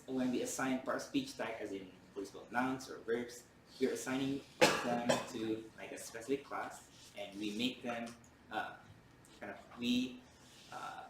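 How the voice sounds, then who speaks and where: A man's voice: lowish voice, slight background noise. A man whose first language is Indonesian, giving a university lecture in a hesitant way with many fillers and restarts. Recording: roomy lecture hall from far off.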